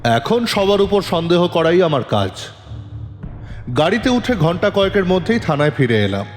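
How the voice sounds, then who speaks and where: A man playing a composed, probing police inspector who narrates his case in a dramatic, deliberate audio-drama style. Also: high voice, very animated. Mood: suspenseful, measured. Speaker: narrator and lead actor in an audio story. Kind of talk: dramatic first-person detective story narration. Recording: long reverb, music underneath.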